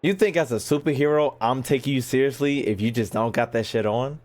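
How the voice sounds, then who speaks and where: A man's voice: mid-range voice, fairly quick, darkish tone. A man doing casual gaming commentary, reading dialogue aloud and joking in a slangy way. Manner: slangy, jokey. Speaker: gaming YouTuber.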